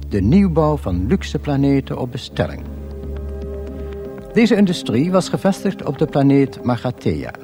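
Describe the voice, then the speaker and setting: An actor playing a grandiose, deadpan narrator of a galactic guidebook in a scripted radio comedy. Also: male voice, medium pitch, close to an everyday voice. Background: music.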